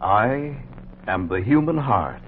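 An actor playing the ancient human heart as a solemn, oratorical narrator in a 1940s radio drama. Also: male voice, on the low side, expressive, slow pace, narrow, tinny audio. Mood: solemn, grandiose. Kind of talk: 1940s radio drama acting, poetic monologue. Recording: scratchy, humming 1940s radio recording.

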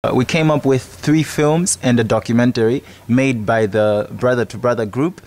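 A man's voice: medium pitch, fairly even intonation, moderate pace, a little mellow. A man in his twenties with a Malawian accent, explaining a project in a documentary interview. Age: 20s. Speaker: project member. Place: outdoors in a college courtyard. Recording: music under the voice. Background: music.

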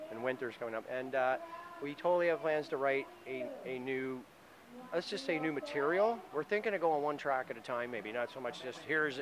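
Easygoing rock musician, outdoor noise. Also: male voice, medium pitch. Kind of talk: casual interview chat. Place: outdoors at a festival.

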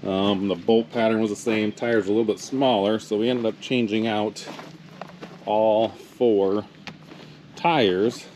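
A man in his thirties narrating a casual work vlog about hauling campers, relaxed and unhurried with lots of fillers. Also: fairly low pitch. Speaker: towing business owner. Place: truck cab and outdoor work yard. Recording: noisy with vehicle and engine hum.

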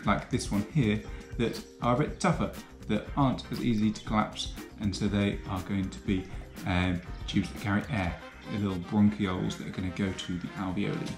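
A young man explaining biology in a patient, clear teaching manner, recorded in a home kitchen on a camera across the table. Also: lowish voice, fairly even intonation, moderate pace, hardly any pauses, echoey room, over music. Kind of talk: educational explainer.

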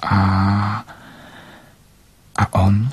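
Slow, husky, gentle dreamer. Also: male voice, on the low side, level tone, no room sound, exaggerated voice. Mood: sympathetic. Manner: hesitant, stammering, soft-hearted. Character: gentle, sympathetic dreamer, shy confidant of a girl. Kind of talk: radio drama acting.

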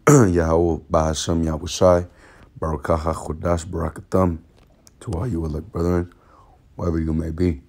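Hoarse raspy low-voiced man. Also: little pitch movement, a little mellow. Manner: halting, repeats words, many fillers. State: hoarse from illness. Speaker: religious teacher. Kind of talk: informal bible lesson over screen recording. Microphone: phone screen recording.

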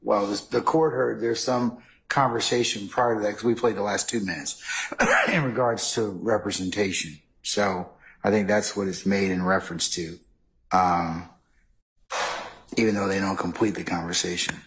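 A male judge speaks in a fairly deep voice. He addresses the lawyers from the bench in a large courtroom. His delivery is halting and deliberate as he works through a ruling. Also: steady tone, a bit bright, slight background noise, low-quality recording. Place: large wood-paneled courtroom.

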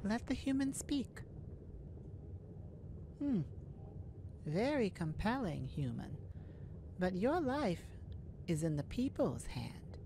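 A woman playing a stately, merciful mermaid leader in a fantasy audio roleplay, speaking in a formal, deliberate, regal manner. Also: mid-range voice, big pitch swings, background noise. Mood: solemn, commanding.

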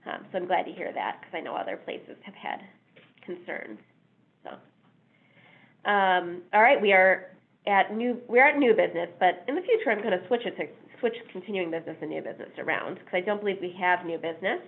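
A woman's voice: on the low side, brisk, creaky. Woman chairing a board meeting. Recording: thin phone-like sound.

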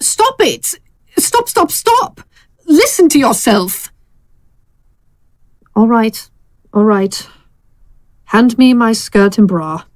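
An actress as a seductive, sardonic woman in an audio drama, the insistent secret lover. She speaks slowly and deliberately with a pointed, teasing edge. The recording is dry. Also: fairly high pitch, very animated, a little mellow, no echo. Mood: exasperated.

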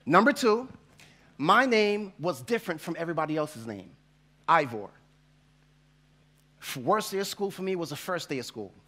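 A Jamaican-born pastor in his forties, speaking with a faint Jamaican accent and a high, ringing voice. He tells his story from a church stage into a headset mic, animated and playful as he imitates the voices in it. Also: normal speed, pauses often. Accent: slight Jamaican. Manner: animated, acts out quoted voices, laughs as he tells. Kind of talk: storytelling sermon. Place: large church hall stage with audience.

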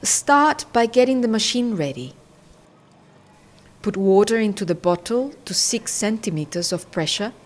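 A woman reading scripted narration for a training film in a measured, instructional manner, step by step. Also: mid-range voice, lively intonation.

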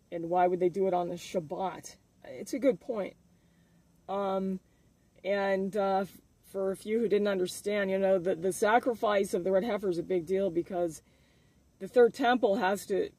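A man speaking casually as the host of an informal religious video update, halting as he thinks aloud. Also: high voice, dark tone, breathy edge, dry recording.

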